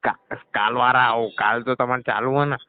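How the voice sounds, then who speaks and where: A man's voice: medium pitch, fairly even intonation, a little fast, slight background noise, lightly acted. A man playing a cheeky, evasive garage customer, nonchalantly needling and stalling in a scripted comedy phone call. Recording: dull lo-fi phone call recording.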